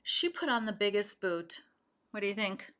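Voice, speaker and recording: A woman's voice: mid-range voice, animated, normal speed, pushed and bright. A woman reading a picture book aloud to children, with chatty explanations and questions for the young listeners. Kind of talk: read-aloud storytelling with chatty asides.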